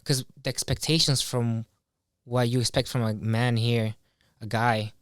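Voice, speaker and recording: Young man, mid-pitched, very dry sound. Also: steady tone, a little slow, clean tone, no room sound. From Ecuador. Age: young adult. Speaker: podcast guest. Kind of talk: casual chat, personal reflection. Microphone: close boom-arm studio microphone.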